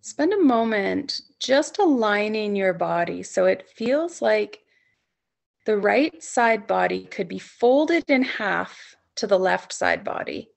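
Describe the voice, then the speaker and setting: A woman leading a guided sleep meditation as a yoga nidra instructor, speaking soothingly in gently coaxing, unhurried phrases with long pauses. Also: medium pitch, expressive, creaky.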